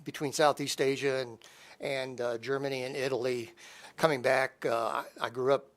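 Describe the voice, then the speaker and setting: Midwestern guy introducing himself at a meeting, kinda rambly, pretty flat mid voice. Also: medium pitch, moderate pace. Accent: Midwestern American.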